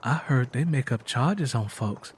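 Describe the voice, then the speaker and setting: A man voicing a gentle, earnest, faithful young woman with a Southern American accent in a dramatic audiobook reading. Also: medium pitch, animated, measured pace, slight background noise, close to an everyday voice. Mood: uneasy.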